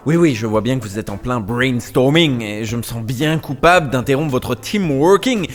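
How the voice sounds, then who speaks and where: A man's voice: medium pitch, lively intonation, moderate pace, close to an everyday voice. A man playing a smug, pretentious start-up boss in a comedy audio drama, peppering his French with English business buzzwords. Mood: smooth, bragging. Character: smug pretentious start-up CEO, smooth-talking male doctor.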